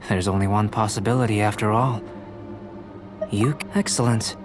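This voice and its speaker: A man playing a calm, aloof young alchemist in a video game, speaking in a measured, formal, detached way. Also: lowish voice, lightly acted. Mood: thoughtful, intrigued. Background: game music.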